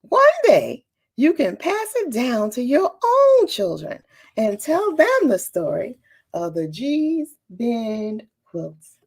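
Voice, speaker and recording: A kind, proud old storybook grandmother, played by a woman, husky and very expressive in pitch. The manner is gentle, deliberate and affectionate. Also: full character voice. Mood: tender.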